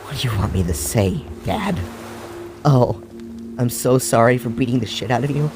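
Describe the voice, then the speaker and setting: A man voicing a wounded grown daughter in a dramatic story, guarded and bitter, with a strained, halting delivery. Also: medium pitch, music in the background, lightly acted. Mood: bitter anger. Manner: strained, halting, sarcastic when angered.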